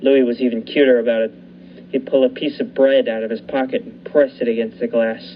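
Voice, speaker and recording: A young man narrates a radio thriller in the first person, keeping a steady pace as he builds the tension. His pitch sits in the middle range. The recording is dull, with a faint hiss behind it. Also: a little fast. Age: young adult. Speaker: narrator and lead actor.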